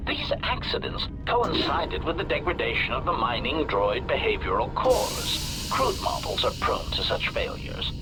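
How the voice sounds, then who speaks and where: A courteous, smugly murderous protocol droid from a video game. The robotic voice is formal and pedantic, announcing each kind of statement, with very lively swoops in pitch. Music plays clearly behind it. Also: male voice, rough recording. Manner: formal, pedantic, labels statements before saying them. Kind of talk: scripted video game dialogue.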